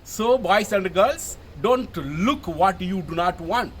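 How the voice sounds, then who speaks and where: A man's voice: sits high, expressive, slow. An older man with a Tamil Indian English accent, a native Tamil speaker, giving emphatic informal guidance to camera as a retired officer, often repeating his phrases. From Tamil Nadu, India. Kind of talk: informal instructional talk to camera. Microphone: mobile phone camera. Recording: phone recording with background noise.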